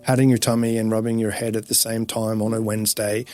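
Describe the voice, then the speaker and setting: A man's voice: on the low side, little pitch movement, darkish tone, faint music behind. Earnest Australian man telling his story. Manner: emphatic, halting with restarts. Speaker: interview guest. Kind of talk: earnest personal testimony.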